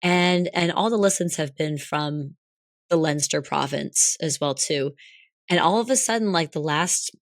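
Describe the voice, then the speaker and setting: A young woman co-hosting a podcast in casual chat, hoarse as her voice comes back, rambling and laughing often. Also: low-pitched, unhurried, dry recording, studio quality. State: hoarse, recovering lost voice. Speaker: podcast co-host.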